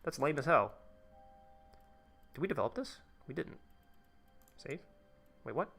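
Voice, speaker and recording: A man's voice: fairly high pitch, sing-song, unhurried, vocal fry, fairly bright tone, faint music behind. A man live-streaming a tycoon game, chatting casually with his viewers in a rambling, profane way while he plays. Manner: rambling, profane, talks to chat while playing.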